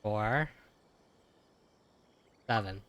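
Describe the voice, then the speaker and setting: A man's voice: on the low side, extremely slow, fairly bright tone, slight background noise. A young man giving casual, slangy live reaction commentary while streaming a video game.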